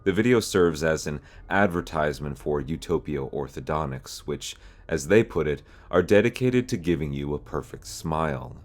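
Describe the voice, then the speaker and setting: A man's voice: rumbling low, animated, normal speed, hardly any pauses. A man hosting an explainer series in a semi-scripted narration style. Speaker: host of an analog horror explainer series.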